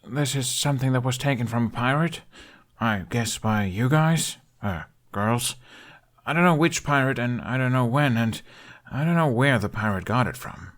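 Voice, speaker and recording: A man's voice: mid-range voice, normal speed, a bit bright, voice pushed up, close to an everyday voice. A man voicing a shy, awkward young hero with a hesitant, sheepish, stammering delivery in an audiobook. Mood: nervous, rambling.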